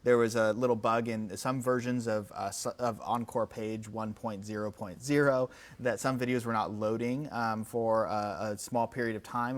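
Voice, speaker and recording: A man hosting a product update livestream in a conversational, off-the-cuff way, often repeating words as he goes. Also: fairly low pitch. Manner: conversational, off-the-cuff, hesitant repetitions. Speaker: company product presenter and host.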